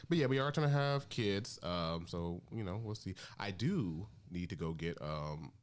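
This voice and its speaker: Deep-voiced joking man in his thirties. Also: lively intonation, moderate pace. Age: 30s. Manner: laid-back, joking, laughs a lot. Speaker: podcast co-host. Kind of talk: casual chat.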